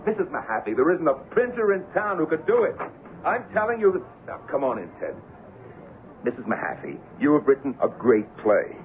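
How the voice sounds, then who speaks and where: Casting: proud, exasperated producer, effusive and highly animated, old-time radio play, narrow hissy sound. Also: male voice. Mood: exasperated, frantic. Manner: emphatic, repetitive, effusive. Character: excitable, exasperated Broadway producer, proud and theatrical.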